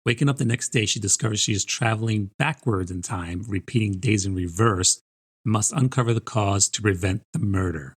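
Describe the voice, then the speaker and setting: Male book podcast host, conversational reviewer, slightly deep and husky. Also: a little fast.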